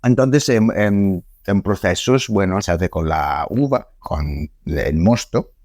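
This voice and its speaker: A man from Italy with a clear Italian accent, speaking in a lowish voice on a dry, close recording. He talks as a guest in a relaxed podcast chat, pausing often with fillers and restarting his sentences, yet keeps an eager tone. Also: dry recording. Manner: halting, many fillers and restarts. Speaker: guest scientist. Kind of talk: casual podcast interview.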